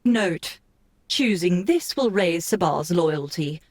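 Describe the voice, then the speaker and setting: A woman reading in-game menu text for a fantasy audiobook in a crisp, list-like manner. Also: fairly low pitch, expressive, slow pace, dry recording, clean studio sound. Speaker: co-narrator reading game screens.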